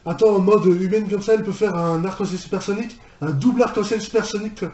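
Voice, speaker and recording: A man's voice: high voice, fast talker, darkish tone, highs cut off. A man giving casual video commentary, thinking aloud informally and repeating himself. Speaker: reaction video host. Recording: roomy, echoey sound.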